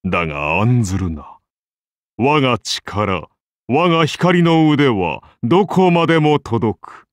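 A majestic founder-king of Rome turned god, declaims in archaic, solemn phrases for an anime game. His benevolent voice moves slowly and sweeps widely in pitch, in a close, dry recording. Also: big pitch swings, no echo. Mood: tender, reassuring. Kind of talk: anime game character voice lines.

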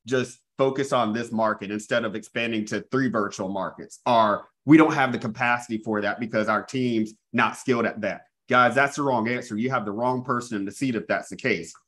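A man in his thirties giving a live real estate coaching webinar over a video call, talking energetically and often calling out to his audience. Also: mid-range voice. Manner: energetic, repeats "guys", restarts phrases. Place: small room indoors. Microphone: earphone mic on video call.

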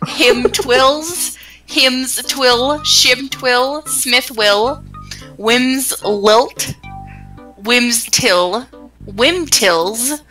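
Bright, forceful woman chatting over a game. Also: on the high side, very slow, far from the mic, soft background music, poor audio. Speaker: player in a group gaming stream. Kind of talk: casual chat.

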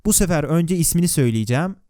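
Male video narrator, mellow tone, dry close mic, conversational scripted delivery, sarcastic asides, viewer questions. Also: on the high side, animated, quick pace, dry recording. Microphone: close, dry voice-over microphone.

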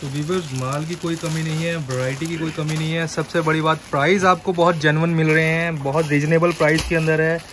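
A man's voice: fairly high pitch, level tone, brisk, few pauses, fairly bright tone, a little hiss or hum. A man hosting a shop video, asking the owner questions and addressing the viewers with affirmations. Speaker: video host. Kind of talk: casual interview and promo.

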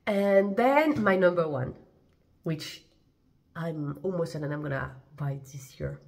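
A woman's voice: low voice, measured pace, creaky, darkish tone. Chatty woman with a stuffy cold voice. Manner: chatty and hesitant, many fillers and restarts. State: congested, blocked voice from a cold. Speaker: fashion vlogger. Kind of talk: casual chat to camera. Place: living room at home, indoors.

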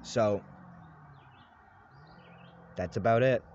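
Young man, agitated rambling rant, lowish voice, dull lo-fi phone sound with faint hiss. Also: drawn-out words, darkish tone. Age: young adult. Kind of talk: ranting selfie vlog. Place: inside a parked vehicle. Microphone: phone at arm's length.

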